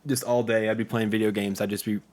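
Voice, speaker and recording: A man's voice: fairly low pitch, flat delivery, fast talker, darkish tone. A young man talking casually as an interview guest, with a joking, self-deprecating manner. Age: young adult. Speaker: interview guest, community theatre actor. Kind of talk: casual chat.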